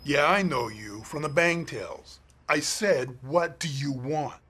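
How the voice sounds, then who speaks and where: A man's voice: fairly high pitch, takes it slowly, sharp tone, exaggerated voice. A man playing a surly, stubborn gangster who talks in old-time slang in a radio play. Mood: hostile, dismissive. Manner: gruff brush-offs in old gangster slang. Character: surly, stubborn male crook fencing stolen gems.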